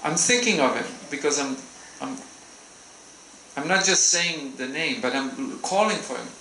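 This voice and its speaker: A man gives a devotional talk in a temple hall, speaking gently and unhurriedly with frequent pauses. His voice has a gravelly edge and a bright, forceful tone. The sound rings around a roomy space. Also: on the high side, lively intonation, a little hiss or hum. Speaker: visiting swami giving a temple talk. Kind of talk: devotional talk with audience questions.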